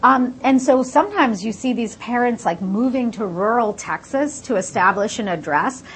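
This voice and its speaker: A woman's voice: on the high side, expressive, normal speed, a little hiss or hum, poor audio. A woman, born in India and raised in the United States, explaining her research fluently in a casual interview with many "like" fillers.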